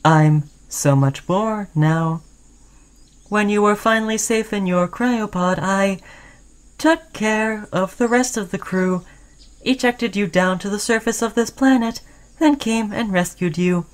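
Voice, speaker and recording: A woman playing an obsessed, caring yet menacing sentient robot in an intimate roleplay audio drama, speaking in an earnest, halting way. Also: mid-range voice, close to an everyday voice. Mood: confessing, devoted.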